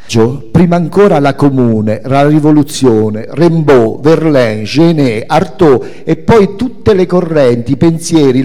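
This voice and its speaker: A man's voice: on the high side, warm tone. Man reading expressively in a reverberant hall. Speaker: reader of a memoir. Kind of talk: expressive literary reading aloud. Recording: reverberant hall, faint music behind. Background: faint music.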